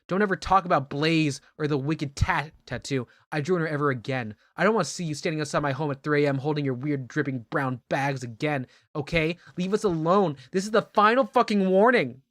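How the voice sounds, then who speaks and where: A man's voice: a little high, brisk, few pauses, a bit bright, no echo, studio quality, natural voice. A man playing an enraged, melodramatic tough guy who rants and threatens in a mock-dramatic comedic reading. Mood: threatening. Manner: ranting, repetitive, swearing threats. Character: enraged, melodramatic young tough guy bragging about three girlfriends.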